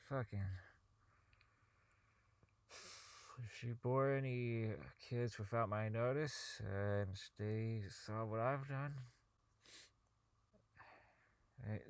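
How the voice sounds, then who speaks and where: A man's voice: fairly low pitch, no echo. A man playing a socially inept, bitter company owner in an audio drama, rambling and stammering through his log as he slides toward madness. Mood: defeated, self-loathing. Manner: stammering, rambling, self-loathing, foul-mouthed.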